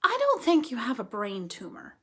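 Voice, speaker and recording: A woman's voice: fairly high pitch, huge pitch swings, moderate pace, slight background noise. A woman voicing an earnest, commanding young girl in a children's storybook read-aloud. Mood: earnest, bossy. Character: Flora, a cynical, earnest, take-charge young girl.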